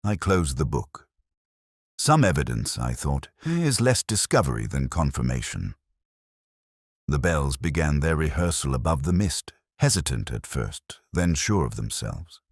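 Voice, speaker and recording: A melancholy police inspector narrates a detective radio drama in an extremely deep voice. His delivery is measured and literary, with deliberate pauses and expressive swings in pitch. The sound is dry and close, and his tone stays wry and brooding. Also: slightly rough, dry recording, exaggerated voice. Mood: brooding, reflective. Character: melancholy, wry middle-aged male English police inspector. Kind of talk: scripted first-person detective narration.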